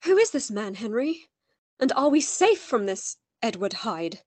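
A woman playing an earnest, worried, caring gentlewoman in a radio play. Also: a little high, very animated, measured pace, husky, no echo, heavily acted.

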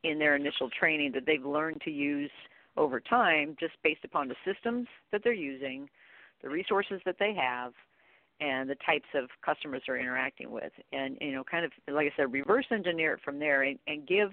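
Creaky-voiced middle-aged woman on phone. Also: deep voice, normal speed, dry recording. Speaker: expert guest. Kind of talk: conversational advice on an interview show. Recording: dry, thin phone line.

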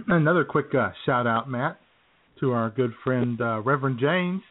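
A man with a mid-pitched voice co-hosts a radio show through thin, phone-like audio. His banter is casual and jokey, and he rambles along with small hesitations. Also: measured pace.

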